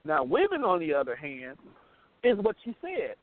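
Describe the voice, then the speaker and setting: Male radio co-host, American, notably high voice, informal and emphatic delivery, thin telephone-band recording. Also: wildly swooping pitch, moderate pace, dry recording. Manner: colloquial, emphatic, repeats phrases for effect. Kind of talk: casual radio discussion. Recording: narrow phone-like sound.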